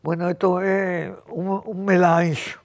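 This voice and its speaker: Older Cuban woman host in her 80s, passionate and rambling, keeps clearing her throat. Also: on the low side, flat delivery, takes it slowly, a little mellow. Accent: Cuban Spanish. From Cuba. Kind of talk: casual conversation.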